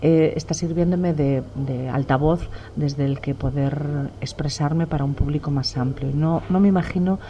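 Low-voiced woman, measured answers. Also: mellow, dull audio, slight background noise. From Galicia. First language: Spanish. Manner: measured, reflective, many "eh" pauses. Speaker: novelist, interviewee. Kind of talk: thoughtful interview answers.

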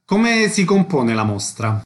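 A man's voice: fairly high pitch, sing-song, takes it slowly, a little hiss or hum. A man hosting a radio programme, asking short questions and announcing in a concise, orderly, scripted way. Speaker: radio host and interviewer.

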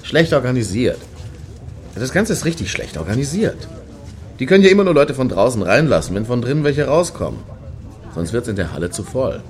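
An actor playing a crude, grumbling, pedantic clubgoer in a satirical radio play, blunt and opinionated. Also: male voice, medium pitch, big pitch swings, a little fast.

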